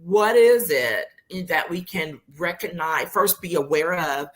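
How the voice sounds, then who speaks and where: A woman's voice: on the low side, lively intonation, a little slow. A woman co-hosting a casual livestream chat, talking in an informal, chatty way. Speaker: co-host and interviewer.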